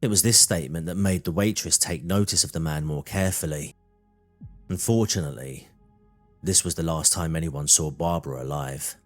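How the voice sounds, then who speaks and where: Male narrator for scripted true crime, deep register, faint music bed. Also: moderate pace.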